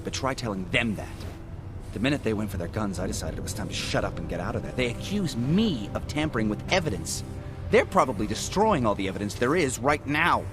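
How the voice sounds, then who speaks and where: A nervous, defensive forensic investigator from a video game, chatty and excitable. The pitch sits fairly low but leaps about as he rambles and hesitates. Music plays clearly behind him. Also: fairly quick. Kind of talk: video game cutscene acting.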